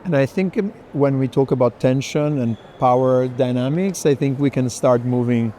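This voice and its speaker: A man leading a walking conversation through an art fair, speaking haltingly with many restarts. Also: medium pitch, dark tone, slight background noise. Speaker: tour moderator.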